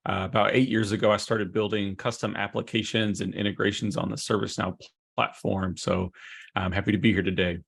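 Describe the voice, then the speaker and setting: A man's voice: lowish voice, level tone, brisk, vocal fry, husky. A middle-aged man, a consultant, presenting in a webinar and chattily prompting his co-presenter with questions. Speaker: solution consultant.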